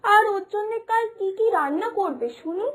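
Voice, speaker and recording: A woman's voice: extremely high pitch, animated, normal speed, a little hiss or hum. A woman voicing Lata-di, a nosy village woman who scolds and nags her neighbour in a cartoon. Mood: mocking. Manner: scolding, nagging. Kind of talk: cartoon voice acting.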